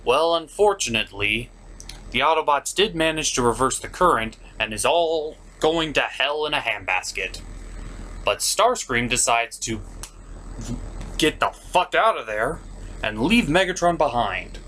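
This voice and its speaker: A man's voice: mid-range voice, lively intonation, a little slow, pushed and bright. Male review host, comedic recap style. Emphatic, exasperated, swooping delivery over faint noise.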